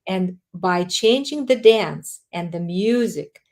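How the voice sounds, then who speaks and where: A woman, a guest author answering interview questions in a calm, emphatic, explanatory way. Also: fairly low pitch, takes it slowly.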